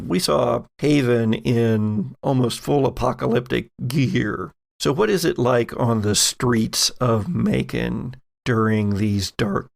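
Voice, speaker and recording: Gravelly man running a tabletop game. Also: medium pitch. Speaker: game master and host. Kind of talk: narrating and refereeing a tabletop game podcast.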